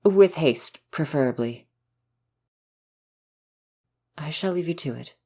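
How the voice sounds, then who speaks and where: A man's voice: fairly high pitch, lively intonation, normal speed, dark tone. A man plays an older palace butler in an audio roleplay. He is discreet and caring, choosing words politely and formally, with some hesitation. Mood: formal, encouraging. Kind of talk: ASMR audio roleplay acting.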